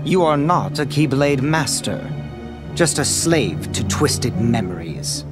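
An actor playing a taunting, cryptic villain in a video game, with a theatrical, mocking, drawn-out riddling delivery. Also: male voice, mid-range voice, sing-song, over loud music. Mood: scornful. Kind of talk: video game cutscene voice acting.

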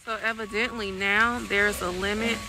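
A woman's voice: medium pitch, steady tone, moderate pace. A woman vlogging on her phone as she walks through a big warehouse store, giving a chatty running commentary and repeating items as she spots them. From California, USA. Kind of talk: casual shopping walkthrough vlog. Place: in a car, then inside a large warehouse store. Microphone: phone camera held at arm's length. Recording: phone audio with loud store background noise.

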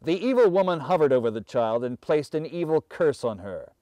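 A man in his fifties reading a fairy tale aloud as a storyteller, with measured, theatrical and deliberate phrasing. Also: on the high side, lively intonation, warm tone, no room sound. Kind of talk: dramatic fairy tale narration.

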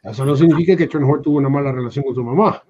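A man's voice: medium pitch, racing, a crowd in the background. A Honduran man on a video call from a room at home, chatting at length in a panel and often restarting his sentences. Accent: Honduran Spanish. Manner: talkative, restarts mid-phrase. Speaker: guest. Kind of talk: casual panel chat. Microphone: webcam video call. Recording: dull lo-fi video call audio.

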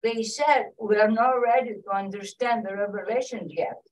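A man's voice: high-pitched, fairly even intonation, moderate pace. A man making casual remarks as part of a group discussion. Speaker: study group participant. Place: meeting room with conference table. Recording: distant from the microphone.

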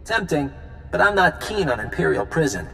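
An actor playing an earnest, practical resistance leader in a video game, firm and persuasive. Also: male voice, a little high, very animated, moderate pace, gravelly, fairly bright tone, faint music behind, heavily acted, low-quality recording.

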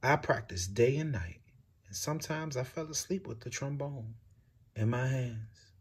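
A man reading a picture book aloud with expressive, swinging delivery. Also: unhurried, husky. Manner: expressive storytelling with big swings in pitch and loudness.

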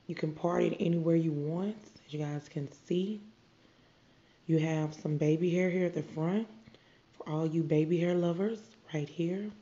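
A woman's voice: deep voice, dark tone. A woman with a stuffy nose from a cold, chatting to viewers as she unboxes products. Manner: chatty, addresses viewers as "you guys". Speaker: beauty vlogger. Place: indoor room. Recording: muffled, echoey room.

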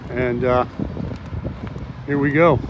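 An older man vlogging outdoors at a boat ramp, talking in a chatty, animated way with lots of fillers. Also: mid-range voice, slow pace, background noise. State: out of breath at times. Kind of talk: casual vlog narration. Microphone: camera or selfie stick at arm's length.

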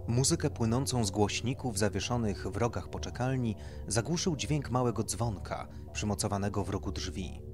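A man's voice: on the low side, moderate pace, few pauses, music in the background. A man reading a satirical horror story as scripted narration. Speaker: narrator, audiobook reader.

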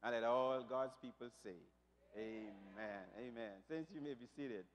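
A man preaching a New Year sermon as pastor, his voice a little raspy from shouting, rising from quiet prayer to loud declarations. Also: mid-range voice, a little slow. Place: church. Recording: long reverb of a church hall.

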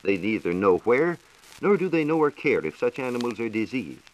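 Animated 1940s American radio science reporter, male. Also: mid-range voice, moderate pace, poor audio. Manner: formal, explanatory, declamatory. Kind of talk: 1940s scripted educational radio talk. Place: radio studio. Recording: hissy 1940s radio transcription disc.

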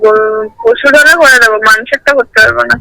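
A woman's voice: high-pitched, big pitch swings, fairly quick, vocal fry, pushed and bright, rough recording. A woman in her twenties on the phone, answering a prank caller with sarcastic comebacks. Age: 20s. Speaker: prank call target. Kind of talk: phone conversation.

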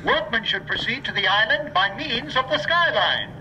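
A man's voice: extremely high pitch, lively intonation, normal speed, lo-fi sound, very noisy. An actor playing a formal male public-address announcer in a video game. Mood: official. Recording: game audio over loud music.

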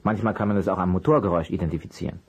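A man playing a polite, persistent private detective in an audio drama, with a husky voice and a courteous, probing way of asking. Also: low voice, fairly even intonation, racing, full character voice. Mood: probing, thoughtful. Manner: courteous, probing, hesitant at times. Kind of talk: German audio drama acting.

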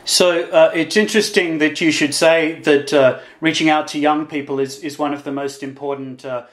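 Male interviewer, forties, slightly high voice, deliberate and hesitant, recorded with clear room echo. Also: steady tone. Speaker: interviewer, host. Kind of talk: conversational interview. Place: indoors, on video call. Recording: roomy, echoing video call.

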